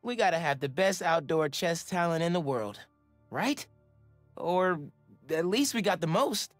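An actor playing an upbeat, earnest teenage superhero from New York with an American accent, chatting casually in a video game. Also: male voice, on the high side, animated, unhurried, no room sound, faint music behind. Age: young adult. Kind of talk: game voice acting, casual reflection.

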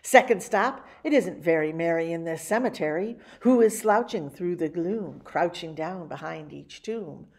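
A woman reading a picture book aloud as a story-time host, with theatrical, animated delivery. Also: lowish voice, normal speed, few pauses. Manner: theatrical, with exclamations and spooky sound effects. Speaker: library story-time reader.

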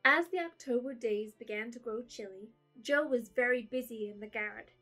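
Gentle woman narrator with soft music. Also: fairly high pitch, normal speed, rough recording. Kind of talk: scripted storybook narration.

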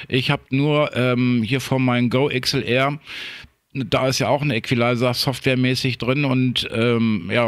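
A man's voice: mid-range voice, a bit bright, no room sound. A man chatting casually as an amateur radio operator.

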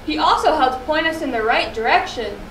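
A woman's voice: high-pitched, lively intonation, a little fast, fairly bright tone. A woman voicing an enthusiastic, urgent young puppet sailor in a children's puppet show. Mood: excited. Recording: roomy echo, faint background noise.

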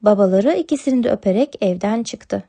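A woman's voice: mid-range voice, animated, brisk, warm tone. A woman narrating a scripted storybook tale for children as a storyteller.

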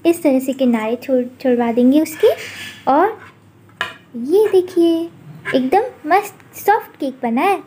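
High-pitched woman narrating a recipe. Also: a little slow, slight background noise. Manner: calm instructional narration. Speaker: cooking channel host. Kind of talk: step-by-step recipe voice-over.

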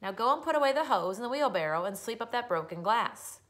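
A woman voicing a calm, unflappable mother in a children's story, in a matter-of-fact way. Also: on the high side, fast talker, pitched above the performer's own voice.

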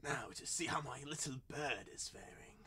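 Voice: medium pitch, expressive, moderate pace, pushed and bright, in a whisper. An actor playing a drunken, cruel and lecherous squire, blustering, taunting and leering in old-fashioned radio drama style.

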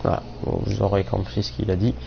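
A man's voice: fairly low pitch, monotone, fast talker. A young man with a cold, casually commenting on his game as he plays, rambling with many fillers. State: has a cold, voice a bit off. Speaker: gaming streamer. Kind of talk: casual let's play commentary. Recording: muffled mic with clear background noise.

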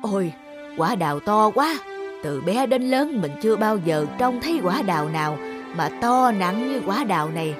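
A woman voicing a kindly, caring elderly peasant woman in an animated folk tale, with expressive, wondering exclamations. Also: mid-range voice, normal speed, music in the background, lightly acted. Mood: amazed, pleased.

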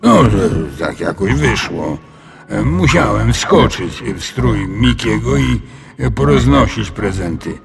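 A man's voice: mid-range voice, big pitch swings, takes it slowly, gravelly, over loud music, exaggerated voice. A man voicing a big, flustered, grumbling male dragon in a children's storybook, hesitant and indignant. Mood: sheepish.

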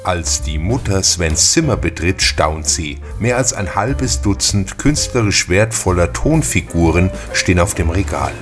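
A man narrates a radio play in a calm, matter-of-fact manner. His voice is low, with faint music under it. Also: a little slow, few pauses. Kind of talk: scripted narration.

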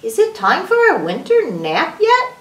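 A woman voicing an excitable, curious young male animal child in a storybook, eager and full of questions. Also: sits high, a little slow, voice pushed up, full character voice. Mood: eager, excited. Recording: roomy echo, distant microphone.

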